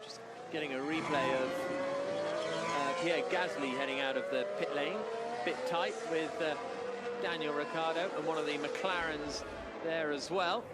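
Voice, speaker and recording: A man's voice: fairly high pitch, fairly even intonation, moderate pace, very noisy. A man giving live motor racing commentary, with race cars and track ambience behind him.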